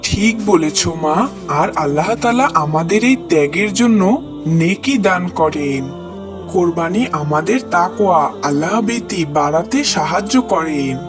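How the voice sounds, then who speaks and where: A man's voice: fairly high pitch, lively intonation, moderate pace, over music, natural voice. A man playing a kind, pious older brother who earnestly teaches in a moral cartoon. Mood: earnest, gentle. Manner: earnest, instructive. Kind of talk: scripted moral cartoon dialogue.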